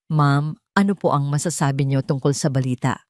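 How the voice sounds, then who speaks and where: A woman's voice: sits low, steady tone, fairly quick, a little mellow, no echo, natural voice. Pushy, insistent news reporter, acted by a woman. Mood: pressing. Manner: insistent questioning.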